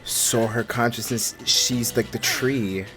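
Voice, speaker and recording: A man's voice: mid-range voice, steady tone, slow pace, poor audio. A young man giving casual, slangy, reactive commentary on a game he is playing, with some swearing. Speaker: gaming streamer. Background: game music.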